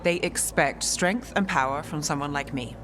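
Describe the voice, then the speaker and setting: A woman playing a haughty, sharp-tongued human mage in a fantasy game, cool and imperious. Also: deep voice, moderate pace, noisy background, close to an everyday voice. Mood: matter-of-fact.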